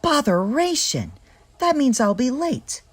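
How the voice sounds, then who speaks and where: A man's voice: very high-pitched, very animated, unhurried, husky, no room sound, pitched above the performer's own voice, full character voice. A man voicing a blustering young male engine who stammers when he is flustered, in a storybook reading. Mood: impatient. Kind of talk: storybook narration with character voices.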